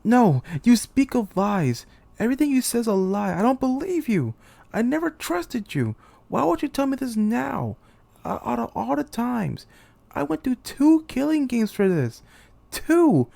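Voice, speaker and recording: Man acting a despairing young commander, creaky voice. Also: very high voice, very animated, warm tone, no room sound, heavily acted. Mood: outraged, distraught. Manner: theatrical, halting, anguished delivery. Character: despairing, betrayed young male military commander in a deadly game. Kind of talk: dramatic monologue, anime-game fan voice reel.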